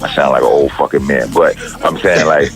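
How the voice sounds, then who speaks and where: A middle-aged man with a deep voice, a rapper talking casually in an interview, rambling and profane, with many restarts. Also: steady tone, a little fast, soft background music.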